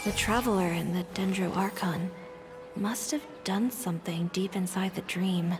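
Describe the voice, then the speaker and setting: A thoughtful game townswoman played by a woman muses in a medium-pitched voice. Music plays clearly under her words. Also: normal speed, lightly acted. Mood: awed. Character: thoughtful female townsperson just woken from a dream. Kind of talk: video game story acting.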